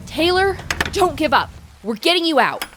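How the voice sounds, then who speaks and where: A woman's voice: high-pitched, very animated, moderate pace. A woman playing a keen, take-charge lizard researcher in an audio drama. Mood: urgent. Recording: clear background noise.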